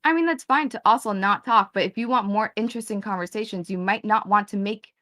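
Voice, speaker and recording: Exasperated bright-voiced woman in her thirties. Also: mid-range voice, brisk. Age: 30s. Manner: exasperated, confrontational, swears, talks over him. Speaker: debate guest. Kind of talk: heated online debate.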